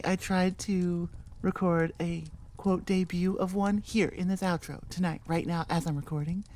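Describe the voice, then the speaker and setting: A woman's voice: medium pitch, a little mellow. A woman who creates audio roleplays, chatting informally and musing aloud in a rambling, self-deprecating way. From born in England, moved to the US. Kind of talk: casual rambling outro chat.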